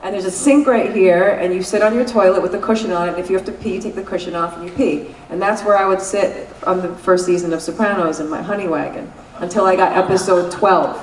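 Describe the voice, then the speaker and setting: A woman's voice: on the low side, fast talker, few pauses, a little hiss or hum. A woman with a New York accent giving a candid, laughing convention interview and swearing freely. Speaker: guest actress. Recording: echoey convention room, mic at a distance.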